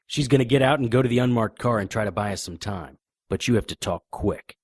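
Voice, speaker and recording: Male actor as hardboiled private eye, low voice, wry, deadpan, noir radio drama, dry close recording. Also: brisk, no room sound, natural voice. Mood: calm, pressing. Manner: deadpan, wisecracking. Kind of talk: noir audio drama narration and dialogue.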